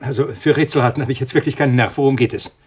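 A man acting as an uneasy scientist in a radio thriller. His medium-pitched voice is hesitant and easily agitated, with an irritable edge. Faint noise and clicks sit behind it. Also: fairly even intonation, very fast, lightly acted. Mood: irritated, uneasy. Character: uneasy, irritable male scientist living alone with budgie.

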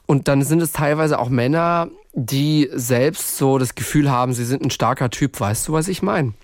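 A man co-hosting a true-crime podcast, talking casually in a halting, reflective way and often repeating phrases. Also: mid-range voice, no room sound, studio quality. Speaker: podcast co-host.

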